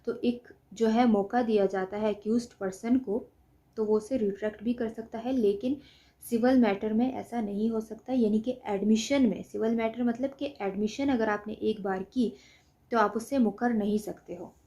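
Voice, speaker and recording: Mellow, higher-pitched Pakistani woman lecturing. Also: fairly even intonation, normal speed. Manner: didactic, asks and answers own questions. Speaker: law lecturer. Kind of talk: explanatory lecture mixing Hindi-Urdu with English legal terms.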